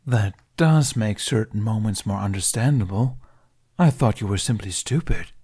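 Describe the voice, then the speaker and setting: A man's voice: on the low side, animated, moderate pace, warm tone, slightly rough. A man voicing an exasperated male dragon companion who speaks in a formal, precise way. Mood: dry, formal.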